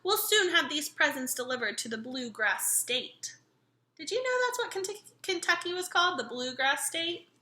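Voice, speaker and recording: A woman's voice: high-pitched, sharp tone, reverberant. A woman reading a children's picture book aloud expressively, engaging her young listeners as she goes. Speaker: read-aloud storyteller.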